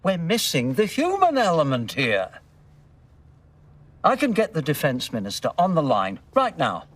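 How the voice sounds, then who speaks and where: A distant, higher-pitched male voice as a principled police commissioner in a game. His delivery is formal and insistent, with lively swings of pitch. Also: unhurried, full character voice. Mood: concerned, challenging. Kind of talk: dramatic video game cutscene acting. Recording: distant from the microphone.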